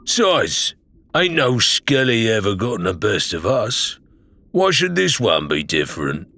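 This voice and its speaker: A man's voice: lowish voice, sing-song, a little slow, pushed and bright, full character voice. A man playing a stubborn, cocky male pirate in an audiobook, with blunt, slangy speech.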